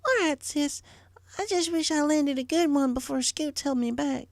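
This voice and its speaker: A man's voice: extremely high pitch, moderate pace, vocal fry, dry recording, voice pushed up. A man voicing a feisty, stubborn little filly pony, a younger sister in an audiobook story, in a childish manner. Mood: grudging.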